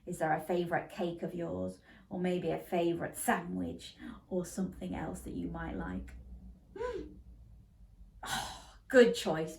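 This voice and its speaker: A woman's voice: on the low side, gravelly. A woman reading a children's bedtime story aloud, playful and interactive with young listeners. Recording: roomy echo.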